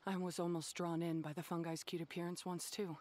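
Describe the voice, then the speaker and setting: Stern young game rival played by a woman: lowish female voice, resolute, formal, coolly disdainful. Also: flat delivery, fairly quick, a little mellow, close to an everyday voice. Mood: measured, grave. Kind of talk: video game voice acting.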